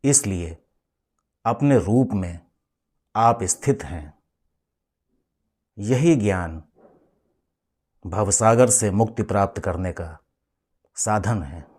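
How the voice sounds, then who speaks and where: A man leading a guided meditation as a spiritual teacher, speaking in a measured, instructive way with deliberate pauses. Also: lowish voice, darkish tone, husky.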